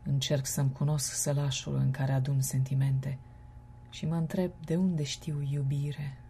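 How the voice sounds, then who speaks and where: A woman with a breathy, husky voice giving an expressive recitation of poetry. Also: very deep voice, a little hiss or hum. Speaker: poetry reader.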